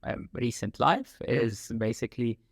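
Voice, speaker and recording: A man's voice: mid-range voice, a little slow, creaky, dry recording, clean studio sound. A man from Egypt, a guest talking about his work in casual interview talk, full of fillers and repeated phrases. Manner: frequent fillers, repeated "like, again". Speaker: guest, talent acquisition lead. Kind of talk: conversational interview.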